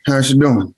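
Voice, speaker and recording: A man's voice: mid-range voice, gravelly, mellow, slightly rough, heavily acted. A man playing a cranky elderly uncle in an acted script reading, grumbling and folksy, with a salty tongue. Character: cranky elderly uncle, crude, stubborn Southern family elder. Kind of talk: acted table read.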